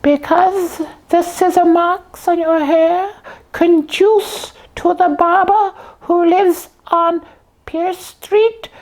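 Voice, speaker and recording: A tiny-sounding, very high puppet sleuth in a comic puppet show. The character is proud and fussy, bossing a partner around with self-important propriety. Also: female voice, steady tone, takes it slowly, darkish tone, exaggerated voice, rough recording.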